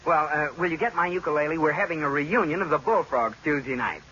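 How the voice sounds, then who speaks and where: An actor playing an excitable, easily exasperated husband with an emphatic, blustering manner in a 1940s radio sitcom. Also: male voice, a little high, fast. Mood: indignant. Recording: scratchy muffled old-time radio recording.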